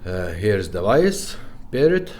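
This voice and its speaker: A man's voice: low voice, big pitch swings, takes it slowly, a little mellow, slight background noise. A man giving a casual, informal product demo, often checking in with short tag questions as he explains. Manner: informal, checks in with "okay?" and "right?". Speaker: presenter and car radio retrofitter.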